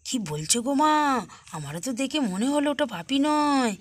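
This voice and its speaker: A woman's voice: high voice, big pitch swings, moderate pace, no room sound. A woman voicing a sleepy young daughter, whiny and full of puzzled questions, in a children's cartoon. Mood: questioning, worried.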